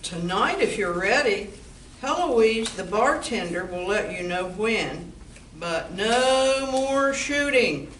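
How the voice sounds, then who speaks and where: A woman's voice: medium pitch, expressive, takes it slowly, a bit bright. A woman playing a wry, practical bar owner in an amateur comedy play, speaking in a dry, businesslike way. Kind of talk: amateur stage comedy radio play acting. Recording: echoey room from far off, background noise.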